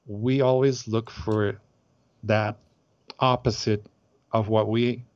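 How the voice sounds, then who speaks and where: A man's voice: on the low side, little pitch movement, takes it slowly, a little mellow, dry recording, highs cut off. A man from Aruba who organizes group trips, chatting in an interview with many hesitant fillers and restarts. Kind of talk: casual interview chat.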